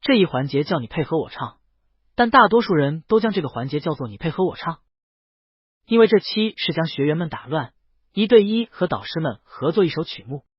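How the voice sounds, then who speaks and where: Husky, animated male story narrator. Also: on the high side, a little fast, no room sound, muffled recording. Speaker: audiobook narrator. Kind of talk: scripted first-person story narration.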